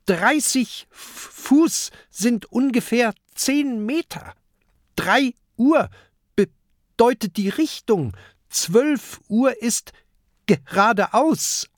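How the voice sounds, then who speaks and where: A stuttering male submarine captain from a children's storybook, as a narrator puts him on, proud yet timid, tripping over the first sound of each word. The voice sits higher than the narrator's own, lively and slow. The recording is dry and close. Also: no room sound. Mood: instructive. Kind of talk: storybook character voice.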